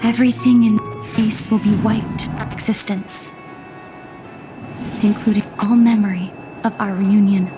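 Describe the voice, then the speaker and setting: A woman playing a solemn, weary young princess and long-lost sister in a video game, softly and with measured delivery. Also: medium pitch, flat delivery, music in the background, natural voice. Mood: grave. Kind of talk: dramatic video game acting.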